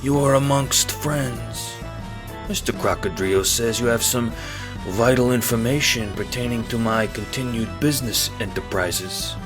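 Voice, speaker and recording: A man voicing an oily, menacing crocodile crime boss with a fake Godfather accent and a slow, falsely genteel way of talking. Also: medium pitch, music in the background, voice pushed up, close to an everyday voice. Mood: oily, courtly. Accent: fake Godfather mobster accent.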